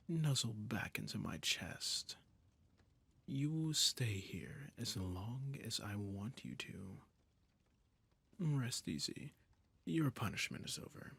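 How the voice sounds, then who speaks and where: A domineering male emperor, coldly tender and possessive, speaks in a low, slow, half-whispered audio roleplay, as a man acts him out. His voice is gravelly and breathy, and he talks down with silky condescension. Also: a bit bright, full character voice. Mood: coolly possessive.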